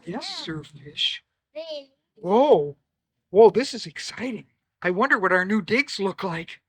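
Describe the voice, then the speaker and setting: A woman voicing a meek, kindly fisherman husband in a lowered, gentle voice. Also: very animated, slow pace, breathy edge, heavily acted. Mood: awed.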